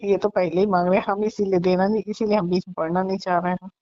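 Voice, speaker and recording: A woman's voice: mid-range voice, little pitch movement, fast, mellow, dry recording. A woman, talking casually and emphatically on the phone, often repeating her phrases. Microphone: phone call. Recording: muffled recorded phone call.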